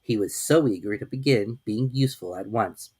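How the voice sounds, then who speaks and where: A woman reading a children's story as a dramatic narrator, expressive and measured. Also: extremely low pitch, slightly rough.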